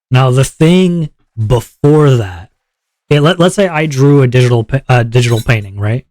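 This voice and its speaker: A male streamer talks his chat through the event rules in a loose, chatty way. His voice sits at a middle pitch. The sound is close and dry, with no room around it. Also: dry recording. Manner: upbeat, chatty, checks in with chat often; relaxed lower voice after a viewer redeem. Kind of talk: casual livestream chat explaining rules.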